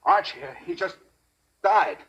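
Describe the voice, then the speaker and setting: An actor playing a jumpy, defiant small-time stickup crook in a 1940s radio drama, edgy and excitable. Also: male voice, high-pitched, measured pace, slightly rough, highs cut off, full character voice. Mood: nervous.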